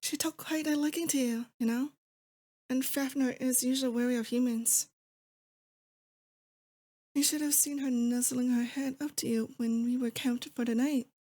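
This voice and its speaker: A woman playing a possessive, affectionate demon lord in an intimate ASMR roleplay, speaking to her captive sweetheart in a doting, wistful, unhurried way. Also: high voice, fairly even intonation, dark tone. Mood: teasing, fond. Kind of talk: roleplay ASMR, intimate scripted monologue to the listener.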